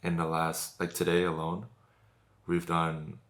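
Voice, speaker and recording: A man's voice: sits low, monotone, a little slow, a bit bright. A twenty-year-old man talking casually to camera in a vlog, laid-back, swearing freely and calling people bro. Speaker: vlogger and business owner.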